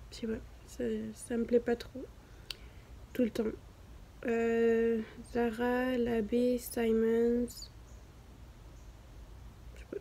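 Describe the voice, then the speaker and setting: Woman, southern French accent, young adult, mellow tone, chatty, rambling, self-mocking, casual vlog. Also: on the high side, a little hiss or hum. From southern France. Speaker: beginner vlogger. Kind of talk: casual chat to camera.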